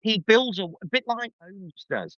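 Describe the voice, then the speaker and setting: A man's voice: high voice, sing-song, measured pace, fairly bright tone, no room sound. A man chatting enthusiastically and effusively as a podcast guest. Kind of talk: casual enthusiastic review chat. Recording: slightly dull, lo-fi microphone sound.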